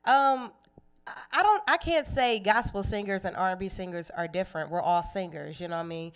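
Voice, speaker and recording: A young woman, a singer and actress, talking casually about herself in an interview, relaxed and chatty with easy laughs. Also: mid-range voice, brisk, clear, resonant tone. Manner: relaxed, chatty, laughs easily, many fillers.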